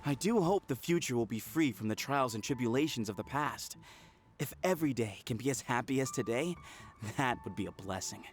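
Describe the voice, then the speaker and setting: A hopeful male islander acted by a man from an anime game. He has a medium-pitched voice and a peace-loving temper. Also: animated, fairly quick, lightly acted.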